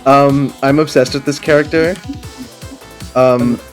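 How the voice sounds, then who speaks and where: A man's voice: mid-range voice, fairly even intonation, slow. Rambling young man over game music. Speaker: gaming video host. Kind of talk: casual chatty game commentary with friends. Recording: background music under the voice.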